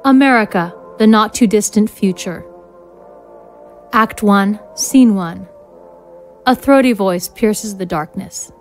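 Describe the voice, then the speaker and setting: A woman's voice: mid-range voice, slow pace, long pauses, faint music behind. A woman reading scene directions as scripted narration for an audio drama.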